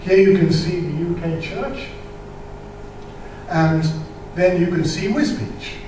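Older male church speaker, high voice, halting explanatory delivery, distant mic in a reverberant hall. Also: a little mellow, slight background noise. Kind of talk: informal church talk with slides. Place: large church hall with audience, indoors. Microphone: microphone on a stand.

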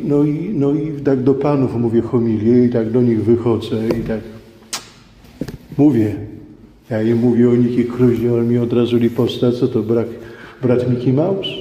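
A man's voice: mid-range voice, warm tone, muffled recording. Middle-aged male preacher in echoing church. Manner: conversational, emphatic, repeats phrases for effect. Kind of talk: sermon with personal anecdotes. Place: large church indoors. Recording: echoey church hall with background noise.

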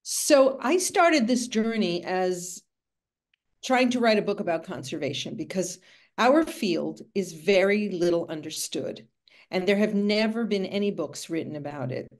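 A woman's voice: mid-range voice, lively intonation, measured pace. A woman in her sixties, born in Cuba, telling her story at length as an author in a video call interview, thoughtful and full of asides. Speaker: author and art conservator. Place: home room with bookshelves.

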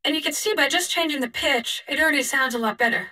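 A man voicing a female game agent through an AI voice changer, husky and casual. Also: very high-pitched, quick pace, crowd noise, voice pushed up. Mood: neutral demonstration.

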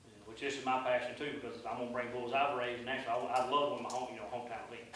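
A man's voice: mid-range voice, level tone, very fast, a bit bright, a little hiss or hum. A man addressing a city council at length, folksy and rambling with many fillers. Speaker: bull riding event organizer. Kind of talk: public comment at council meeting. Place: council meeting room. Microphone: far room camera. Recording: echoey room from far off.

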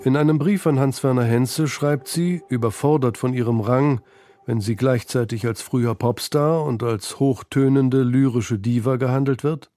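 A man's voice: mid-range voice, moderate pace, mellow, faint music behind. A man narrating a scripted radio feature in an articulate, composed way. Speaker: documentary narrator. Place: studio.

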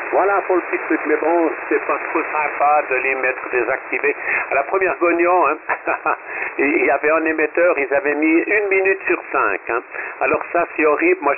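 Man, Swiss French accent, chatty radio ham, tinny shortwave, light hiss. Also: medium pitch. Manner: chatty, many "hein" tags. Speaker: radio amateur. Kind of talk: casual radio chat.

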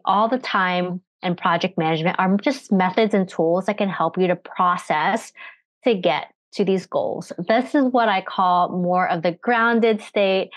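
A woman's voice: mid-range voice, no room sound. A woman in her thirties, a coach presenting slides on a video call from home, explaining earnestly with rhetorical questions while recovering from a cough. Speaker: energy management coach. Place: home room with bookshelves. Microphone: video call webcam.

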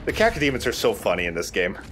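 Low-voiced man doing gameplay commentary. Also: huge pitch swings, fast talker, a bit bright, a little hiss or hum. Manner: explanatory, conversational, swears casually. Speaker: let's play host.

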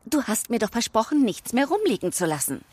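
Children's audio drama mother: exasperated, easily startled, high, swooping delivery. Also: fairly quick, slight background noise. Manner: exasperated, expressive. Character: Paul's mother, a fussy, easily startled mum.

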